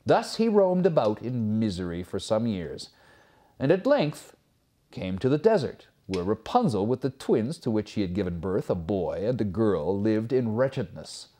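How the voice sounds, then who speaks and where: A man reading a fairy tale aloud as a storyteller, expressive and theatrical, with measured phrasing. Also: lowish voice.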